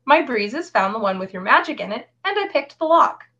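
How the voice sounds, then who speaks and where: A woman's voice: on the high side, sing-song, a little fast, sharp tone, lo-fi sound, voice pushed up. Woman voicing a storybook girl, composed, matter-of-fact, proud, fantasy novel reading. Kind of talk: audiobook-style reading of fantasy novel dialogue.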